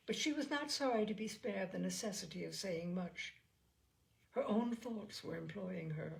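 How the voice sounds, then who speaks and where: A woman with a creaky voice narrating literary fiction in a measured, expressive reading. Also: mid-range voice. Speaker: audiobook reader.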